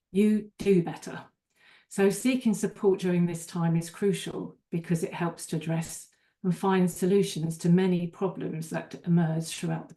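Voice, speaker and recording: An older woman speaks with a slightly low voice. She presents a webinar in an earnest, steady way, with a few small restarts. She sounds like a divorce coach talking from her own experience.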